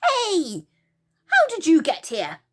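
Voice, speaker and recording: A woman voicing an excited, joyful young male character from a children's storybook. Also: very high voice, slow, a little hiss or hum, pitched above the performer's own voice, heavily acted. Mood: excited, yelling. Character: excited young boy called Moonface, joyful and worried.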